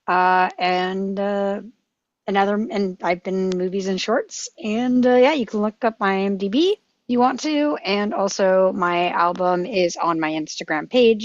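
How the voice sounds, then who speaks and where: A woman giving an informal, halting self-introduction as an actor. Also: medium pitch. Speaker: actor at a table read. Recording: roomy, dull lo-fi call audio.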